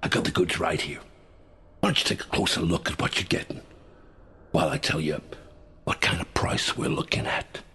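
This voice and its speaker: Seedy audio-drama huckster bird, low-pitched, gravelly, cajoling, menacing street slang. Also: male voice, normal speed, pauses often, pushed and bright, slight background noise. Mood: sly, coaxing. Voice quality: gravelly, husky rasp.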